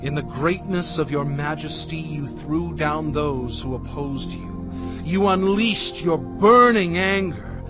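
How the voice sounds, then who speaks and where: An actor playing Moses, a fervent and reverent prophet, declaiming scripture in a measured way. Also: male voice, a little high, loud music behind the voice. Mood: reverent, awed. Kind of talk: dramatized scripture recitation.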